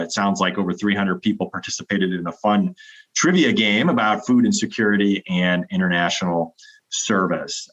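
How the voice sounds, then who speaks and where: A man's voice: deep voice, fairly bright tone. A man hosting a virtual club meeting, upbeat and welcoming, with frequent fillers. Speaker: meeting host. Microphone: video call. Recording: dull lo-fi video call audio.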